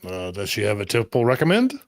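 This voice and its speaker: A man playing a gruff older lawman, wry and blunt, in a tabletop roleplaying game. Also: lowish voice, huge pitch swings, fast talker.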